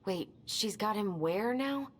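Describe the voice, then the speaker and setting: A woman's voice: mid-range voice, animated, takes it slowly, slight background noise. An actress playing a tired, anxious young woman in a naturalistic video game cutscene. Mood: apologetic, casual. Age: young adult.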